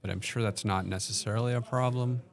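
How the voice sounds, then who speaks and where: A man's voice: mid-range voice, fairly even intonation, fast, creaky. A man doing casual play-by-play commentary, describing each move step by step and hesitating often with ums.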